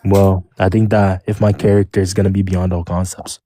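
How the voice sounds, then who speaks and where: A man in a casual online voice-chat debate, arguing rapidly in jargon and talking over interruptions. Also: low voice, level tone, warm tone, slight background noise.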